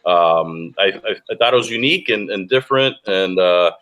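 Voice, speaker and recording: A man's voice: sits low, animated, normal speed, fairly bright tone. A man, son of Cuban parents and a beard company owner, talking casually on a group video call and rambling with many restarts. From United States, Cuban parents.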